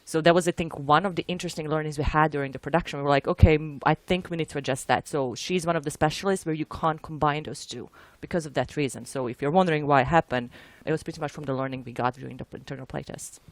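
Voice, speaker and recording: A woman's voice: deep voice, quick pace, few pauses, dry recording. A woman from Croatia with a Croatian accent, speaking informally as a game producer in a casual developer discussion, often rephrasing mid-sentence. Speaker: game producer, panel guest.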